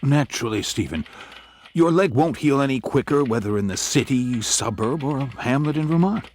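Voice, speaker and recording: A man's voice: medium pitch, normal speed, slight background noise, natural voice. An actor playing an older male law firm partner who is jovial and teasing, in an audio drama. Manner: avuncular, wry and lively.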